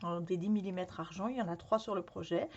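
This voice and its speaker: A woman's voice: on the low side, fast, darkish tone. A woman hosting a scrapbooking workshop, presenting supplies in a friendly, casual way with many hesitations. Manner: friendly, frequent hesitations with "euh". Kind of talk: casual product demonstration. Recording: slightly dull lo-fi sound.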